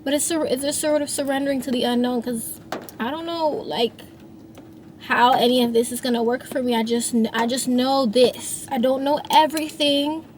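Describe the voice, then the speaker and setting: A woman's voice: sits high, fairly even intonation, normal speed, darkish tone, a little hiss or hum. A woman in her thirties, a singer and songwriter, talking through her feelings in a rambling, reflective monologue with many restarts. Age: 30s. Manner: rambling, frequent restarts and fillers.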